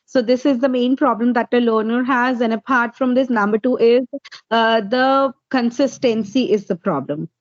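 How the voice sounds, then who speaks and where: A woman's voice: fairly high pitch, moderate pace, no room sound, lo-fi sound. A woman from India, a native Hindi speaker with an Indian accent, answering interview questions as an English tutor and laying out her points one by one. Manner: explains step by step, numbering points.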